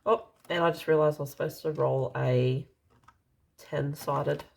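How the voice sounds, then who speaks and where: An Australian woman with a slightly croaky, dry voice, casually narrating as she counts out cash in an unhurried way. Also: deep voice, fairly even intonation, darkish tone. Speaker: budgeting vlogger.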